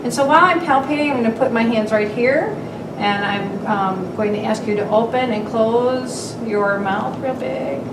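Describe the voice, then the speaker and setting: A woman's voice: a little high, normal speed. A woman clinical instructor methodically explaining a physical exam as she demonstrates it, in an explanatory teaching style. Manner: methodical, explanatory, lists anatomical terms. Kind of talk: instructional demonstration, narrating while examining. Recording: roomy, distant mic, clear background noise.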